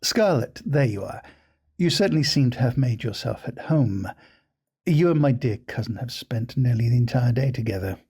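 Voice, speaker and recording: A man playing a coldly ambitious aristocratic gentleman in an audio drama, speaking in a formal, suave, measured way. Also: mid-range voice, lively intonation, slightly rough. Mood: amused, suave. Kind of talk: scripted audio roleplay drama.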